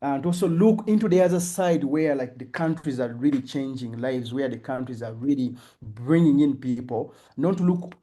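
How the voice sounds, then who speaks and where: Mellow man with a measured, reflective tone. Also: mid-range voice. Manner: measured, reflective, stumbles on restarts. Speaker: guest. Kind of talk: casual interview chat.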